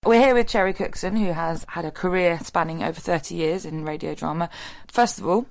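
A woman's voice: fairly low pitch, a little fast, gravelly. A woman podcast contributor talking and asking questions in a casual, chatty style. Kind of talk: casual chat. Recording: crowd chatter behind.

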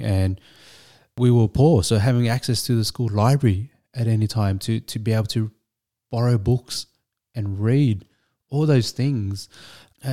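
A man speaks as an interview guest. His voice sits a little low, with a soft, mellow tone. He talks in a measured, reflective way, pausing and restarting as he thinks. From Australia. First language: Vietnamese. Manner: measured, thoughtful, with frequent restarts and fillers. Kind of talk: reflective storytelling.

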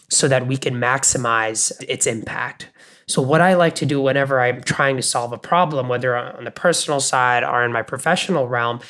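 A man's voice: medium pitch, fairly bright tone. Thoughtful male podcast co-host. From Bangladesh, Canada and the US. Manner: thoughtful, measured, uses many fillers. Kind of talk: reflective podcast takeaway.

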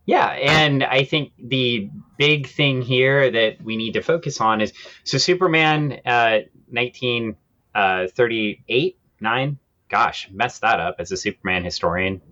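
A male podcast co-host talks through comics history in a casual, explanatory style. His voice sits at a middling pitch. The sound is slightly dull and lo-fi. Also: a little slow, bright, forceful tone.

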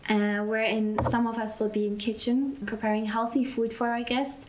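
A young woman from Belgium talks about her mission school training. Her voice sits a little on the high side. She speaks slowly and thoughtfully, pausing and restarting as she finds the right words. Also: little pitch movement, background noise. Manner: unhurried, searching for words, restarts. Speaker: interview guest, mission school student. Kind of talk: casual interview answers.

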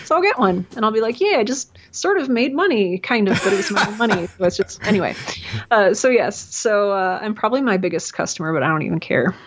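A woman's voice: mid-range voice, expressive, quick pace. A woman podcaster chatting in an interview, talking freely with lots of fillers and restarts. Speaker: podcaster and audio drama producer. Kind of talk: casual interview conversation.

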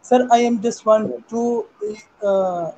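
A man's voice: high voice, takes it slowly, mellow, low-quality recording. A man politely asking an interviewee to introduce himself, hesitant and with non-native phrasing. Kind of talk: interview questions.

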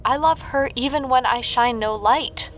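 A woman's voice: high-pitched, normal speed, muffled recording, soft background music, natural voice. The moon, a lovestruck female, as a woman tells her. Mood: earnest. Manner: soft, earnest storybook telling.

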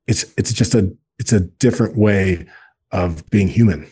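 A man's voice: sits low, a little slow, darkish tone, husky. A man in his forties speaking earnestly in a casual podcast conversation, often repeating words for emphasis. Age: 40s. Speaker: guest, men's group co-founder.

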